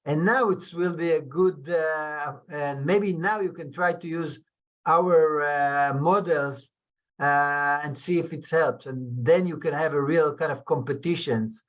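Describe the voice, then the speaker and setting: Hesitant man on a lo-fi call. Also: a little high. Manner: halting, many restarts and fillers. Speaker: seminar attendee, experimental researcher. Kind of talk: academic discussion.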